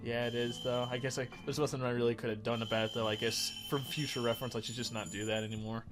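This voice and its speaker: A man's voice: medium pitch, level tone, quick pace, over music. A man doing casual, rambling game commentary as a let's play host, often correcting himself as he goes.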